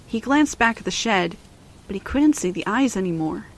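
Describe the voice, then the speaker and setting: A woman's voice: mid-range voice, expressive, normal speed. A woman narrator reading a scripted children's story in storybook style.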